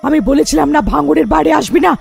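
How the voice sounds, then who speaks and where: Storybook snake witch, played by a woman: high, creaky, menacing, scolding foster mother. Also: fast talker, darkish tone, soft background music. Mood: angry scolding. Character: vengeful snake-bodied witch ghost, fierce yet protective foster mother.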